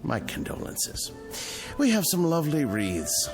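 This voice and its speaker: Funereal florist: unhurried, dramatically swooping delivery, solemn courtesy, vintage TV drama. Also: male voice, on the high side, over music. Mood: mournful. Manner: solemn, deadpan, overly courteous. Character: lugubrious, formal florist with funereal gloom. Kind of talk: vintage television drama acting.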